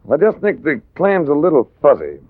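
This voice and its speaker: A man's voice: fairly high pitch, wildly swooping pitch, a little fast, husky, dry recording, heavily acted. A man playing a wry, self-assured hardboiled investigator in 1940s radio drama style, narrating and talking. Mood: pressing. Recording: tinny, noisy vintage radio recording.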